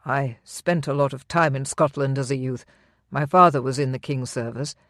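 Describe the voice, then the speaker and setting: A narrator voicing a young English knight who speaks in a steady, measured, reassuring way. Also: medium pitch, no room sound, clean studio sound, pitched below the performer's own voice, lightly acted. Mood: earnest. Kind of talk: audiobook character reading.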